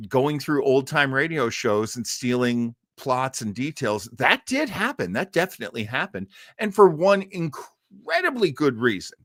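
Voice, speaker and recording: A man, hosting a livestream with casual, halting, emphatic commentary. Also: mid-range voice, fairly bright tone, no room sound, clean studio sound. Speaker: livestream host. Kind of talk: casual rambling live commentary.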